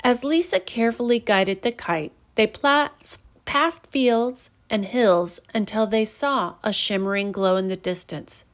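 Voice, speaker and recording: A woman's voice: mid-range voice, measured pace, hardly any pauses. A woman storyteller reading a scripted children's bedtime story.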